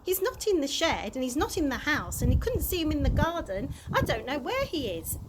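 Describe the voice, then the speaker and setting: Woman playing a flustered, high-pitched storybook dad. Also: huge pitch swings, brisk, noisy background. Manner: anxious, pleading. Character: Dad, flustered mild-mannered father, middle-aged man.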